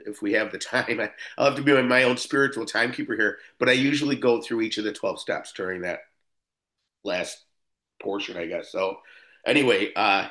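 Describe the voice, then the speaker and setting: A fifty-something man from Buffalo, New York, with a fairly low voice, speaks to a recovery meeting. He wanders through his story casually, doubling back on his words and filling pauses with "uh". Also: sharp tone. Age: 50s. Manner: rambling, frequent restarts and fillers. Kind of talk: personal recovery talk.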